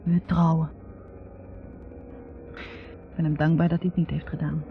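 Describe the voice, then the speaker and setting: An actress playing a bitter, reflective young woman academic in a 1960s radio drama, speaking in a halting, confessional way. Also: low-pitched, level tone, faint music behind. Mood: resolute, regretful. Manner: halting, confessional, repeating words. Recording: muffled 1960s radio recording.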